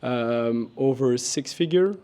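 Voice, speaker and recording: A man from Belgium in his thirties talks into a handheld mic outdoors. He speaks haltingly, full of fillers, and acts out quoted conversations with energy. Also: medium pitch, mellow, clear, resonant tone. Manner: hesitant, many fillers, acts out quoted talk. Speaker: interviewee, life coach. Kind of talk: casual street interview. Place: outdoor terrace by a villa. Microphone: handheld mic held by interviewer.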